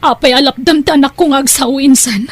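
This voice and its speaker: A woman's voice: sits high, steady tone, brisk, no room sound, close to an everyday voice. A woman with a Filipino accent playing a worried, protective mother in an audio drama, urgent and emotional. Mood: suspicious, firm. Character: worried protective mother confronting her son's guardian. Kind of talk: Ilocano audio drama acting.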